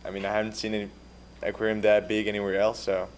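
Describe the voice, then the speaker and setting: A man's voice: on the low side, completely flat delivery, fast, slight background noise. An eighteen-year-old man from the country of Georgia, a native Georgian speaker, giving polite, earnest answers as an exchange student. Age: teens. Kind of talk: answering questions at a public meeting.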